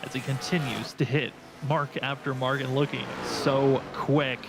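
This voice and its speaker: A man's voice: medium pitch, fairly even intonation, unhurried, vocal fry. A man giving live play-by-play motorsport commentary as a race broadcaster. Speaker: play-by-play sim racing commentator. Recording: loud engine and vehicle noise.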